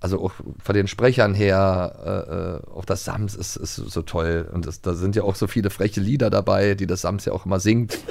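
A man's voice: low voice, fairly even intonation, normal speed, gravelly, hardly any pauses, darkish tone. A man hosting a podcast about radio plays, talking fondly with many hesitations. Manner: hesitant, many fillers, fond. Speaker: podcast host. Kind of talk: casual chat.

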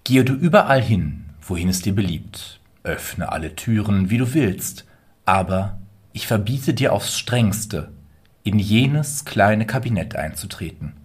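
A man plays a rich, stern husband from a storybook fairy tale, with a deep voice. He speaks in a formal, deliberate, commanding manner. Music plays clearly underneath. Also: expressive. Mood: stern, menacing. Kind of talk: dramatic fairy-tale reading.

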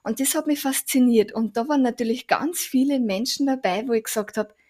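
A woman with an Austrian German dialect, a guest on a podcast, telling stories in a lively, chatty way with soft asides. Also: fairly high pitch, fairly quick. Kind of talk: casual interview chat.

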